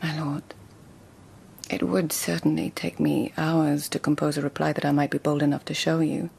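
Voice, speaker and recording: An actress playing a witty, poised lady of an old Japanese court in a radio drama, speaking in a measured, deferential way. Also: low-pitched, flat delivery, vocal fry, a little mellow, slight background noise. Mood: modest, teasing.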